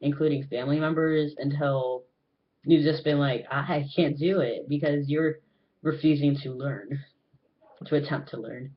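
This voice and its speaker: A young man talking earnestly to camera in a personal vlog, pausing often with filler ums. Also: a little high, steady tone, dull audio, slight background noise. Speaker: vlogger. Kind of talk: casual personal talk to camera. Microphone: webcam.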